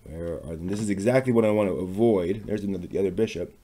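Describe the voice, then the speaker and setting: A man casually thinking aloud as he works on a craft, muttering to himself in short, unhurried phrases. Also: deep voice, dark tone, slight background noise. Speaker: maker hosting a craft workshop video.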